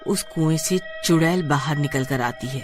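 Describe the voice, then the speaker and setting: A woman's voice: low voice, moderate pace, loud music behind the voice. A woman narrating a scripted story dramatically.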